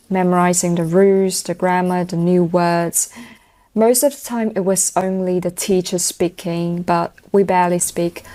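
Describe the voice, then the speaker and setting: Low-voiced woman, cantonese first language, chatty informal english answers. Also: warm tone. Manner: fluent, informal, uses slang. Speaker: IELTS speaking candidate. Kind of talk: answering interview questions.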